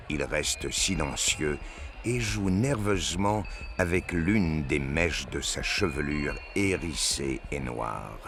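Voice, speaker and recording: A man's voice: a bass voice, fairly bright tone. A man narrating a sci-fi audio drama in a scripted, dramatic storytelling style.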